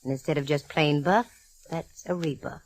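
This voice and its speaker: Low-voiced, wisecracking female private eye, played by an actress. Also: darkish tone, lightly acted. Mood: wistful, teasing. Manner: wry, flirtatious, quick with quips. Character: wisecracking, self-assured female private detective. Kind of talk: 1940s radio detective drama acting. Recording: noisy old radio recording.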